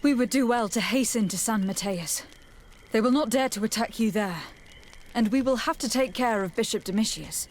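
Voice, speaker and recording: A woman's voice: a little high, moderate pace, slight background noise, close to an everyday voice. An actress playing a composed, diplomatic noblewoman envoy in a fantasy video game. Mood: resolved. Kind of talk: scripted fantasy game dialogue. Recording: game music behind the voice. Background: game music.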